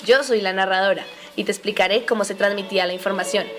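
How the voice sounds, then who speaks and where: Female narrator, middle-pitched, explanatory, scripted radio play, prominent music bed. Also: steady tone, fast, lightly acted. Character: explanatory female narrator who joins the story.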